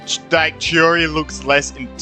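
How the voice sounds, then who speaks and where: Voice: mid-range voice, flat delivery, unhurried, bright, forceful tone. A gaming streamer giving casual, informal reaction commentary with muttered exclamations. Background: game music.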